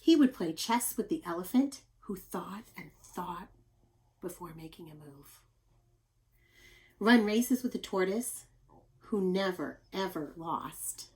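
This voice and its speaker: A woman reading a picture book aloud to young children as a teacher, with expressive, deliberate emphasis and questioning asides. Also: on the low side, slight background noise.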